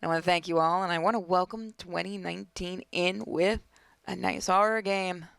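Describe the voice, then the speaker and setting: A woman's voice: fairly low pitch, fairly even intonation, normal speed, vocal fry, fairly bright tone, clear, resonant tone. A woman hosting a gaming video, reading horror story text theatrically and dropping in casual joking asides. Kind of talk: dramatic read-aloud of horror game text with casual asides. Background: game music.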